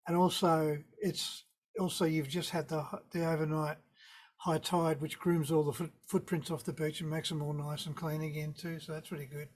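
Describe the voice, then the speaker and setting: A man's voice: fairly high pitch, moderate pace, rough recording. Laid-back Tasmanian man on a video call. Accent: Tasmanian Australian. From Tasmania, Australia. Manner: relaxed, rambling storyteller with laid-back understatement. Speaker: surf photographer guest. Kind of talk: casual interview on a video call. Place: indoor room with a virtual wave backdrop. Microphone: video call webcam.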